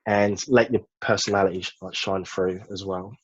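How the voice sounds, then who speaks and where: A man as a podcast guest, giving relaxed job advice with many fillers in casual chat. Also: deep voice, normal speed, breathy edge, dry recording, highs cut off. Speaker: guest, job search business director.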